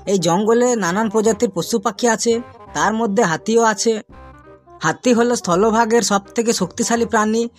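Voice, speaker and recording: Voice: medium pitch, moderate pace, soft background music, natural voice. A performer voicing a cocky, mischievous schoolboy in a comic cartoon, boastful and recitational, with a Bengali accent. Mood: confident reciting.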